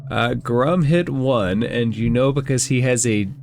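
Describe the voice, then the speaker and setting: A man's voice: medium pitch, measured pace, a little mellow. A man acting as game master, narrating a tabletop game and talking through rulings aloud. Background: background music.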